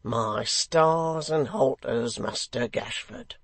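Man voicing a hoarse, coarse old-time hangman, slow and sly. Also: mid-range voice, crowd noise. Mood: gleeful, confiding. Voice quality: hoarse, growling. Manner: cockney-style dropped grammar, chuckling familiarity. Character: coarse, sly, eager middle-aged male hangman.

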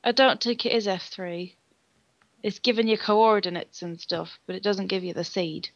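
Young female gaming co-host, casual chat, average pitch, muffled lo-fi recording. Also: steady tone, moderate pace, no echo. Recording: dull lo-fi voice-chat sound.

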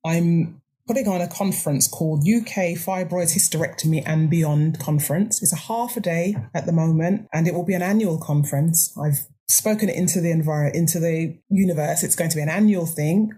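A woman of grandmother age speaks as a podcast guest in a husky, mellow voice pitched on the low side. She talks earnestly, pressing her points and restarting her phrases. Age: older. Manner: earnest, emphatic, halting restarts. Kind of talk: conversational interview.

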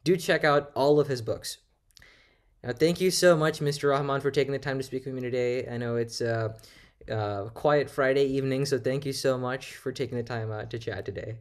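A man's voice: medium pitch, fairly even intonation, moderate pace. A young man hosting an interview in a polite, earnest, courteous way. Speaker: interviewer, host. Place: indoors on a video call.

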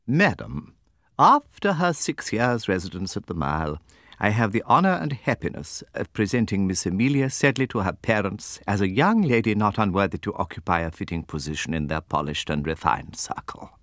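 A stately older headmistress, played by a male reader in an audiobook classic. She is cold and commanding, with pompous formal phrasing and a lively swing in pitch, recorded close and dry. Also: normal speed, no room sound. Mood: grandly formal. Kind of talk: audiobook character acting.

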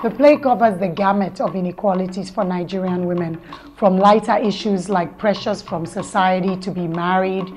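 A woman narrates a scripted promotional piece. Her voice sits at an average pitch, and prominent music plays loudly behind her throughout. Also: moderate pace.

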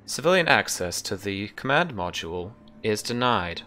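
A man voicing an impersonal, unhelpful ship's computer in a tabletop game, with measured, formal, halting delivery. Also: lowish voice, big pitch swings, bright, forceful tone, slight background noise. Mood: impersonal, withholding. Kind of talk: tabletop game role-play.

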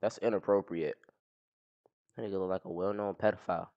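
A man's voice: low-pitched, steady tone, a little fast, lo-fi sound, over loud music. A young man chatting with strangers on a video site through a headset mic, blunt and teasing, with crude insults. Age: young adult. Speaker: streamer chatting with strangers online. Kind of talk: casual video chat commentary. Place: small living room indoors.

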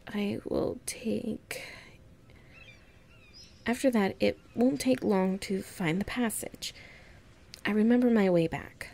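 A woman acting a clumsy, anxious young woman in an audio roleplay, murmuring and thinking aloud in a halting, breathy way. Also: medium pitch, dark tone.

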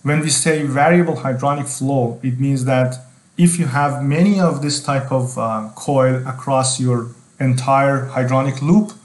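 A middle-aged man explaining technical material as a tutorial instructor, speaking haltingly and often repeating phrases as he goes. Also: medium pitch, steady tone, a little mellow, echoey room.

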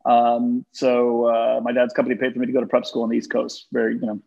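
Relaxed, chatty man on a lo-fi video call. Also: mid-range voice, quick pace, gravelly. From born in London, raised in Turkey and Texas. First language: Turkish. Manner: relaxed, chatty, many fillers and restarts. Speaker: guest, fund manager. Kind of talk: casual video-call interview. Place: office with high windows, indoors. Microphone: webcam on a video call. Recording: dull lo-fi video call audio.

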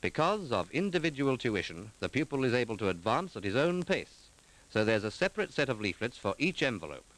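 A man reading scripted narration for a 1940s documentary film in a measured, formal, articulate manner. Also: mid-range voice, sing-song, fairly bright tone, poor audio. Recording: 1940s film soundtrack with music.

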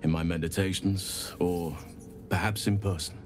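An actor playing a solemn, gentle middle-aged elven archdruid in a fantasy game, speaking in a measured, earnest, formal way. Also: male voice, sits low, fairly even intonation, music in the background, natural voice. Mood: hopeful, tender. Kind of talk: scripted fantasy game dialogue.

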